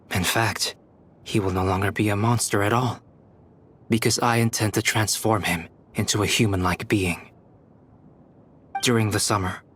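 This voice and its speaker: An actor playing a calm, analytical young alchemist in a game, speaking in a measured, precise, formal manner. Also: male voice, on the low side, natural voice. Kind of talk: scripted English game voice acting. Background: background music.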